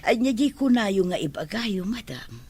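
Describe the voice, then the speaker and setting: A patient, dutiful maid, with a husky, breathy voice. She speaks gently and deferentially in radio-drama style. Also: medium pitch, sing-song, moderate pace. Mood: respectful, subdued. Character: humble, patient, dutiful female household maid. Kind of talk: Ilocano radio drama acting.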